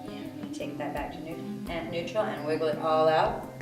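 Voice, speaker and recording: A woman's voice: sits low, normal speed. A woman leading a yoga class, giving stretching cues with chatty, joking asides. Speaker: yoga instructor. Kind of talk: guided yoga class instruction. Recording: echoey room with background music.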